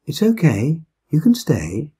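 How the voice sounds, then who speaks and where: An older man voicing a kindly mother bear from a fairy tale, gentle but firm and reassuring. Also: a little high, wildly swooping pitch, takes it slowly, breathy edge, voice pushed up, full character voice. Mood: welcoming.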